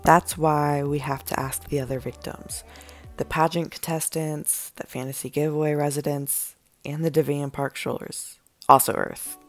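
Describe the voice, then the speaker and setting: Level-headed lady sleuth, voiced by a woman, low register, analytical, amateur cartoon, soft music behind. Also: level tone, a little slow. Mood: explaining. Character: analytical, level-headed female detective partner. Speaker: voice actor in a fan animated film. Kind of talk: scripted amateur dramatic dialogue.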